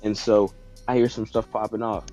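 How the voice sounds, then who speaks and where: A man casually telling a comedic story to friends in slangy, animated speech. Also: lowish voice, moderate pace, poor audio. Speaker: podcast guest telling a story. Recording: music playing behind the voice. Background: background music.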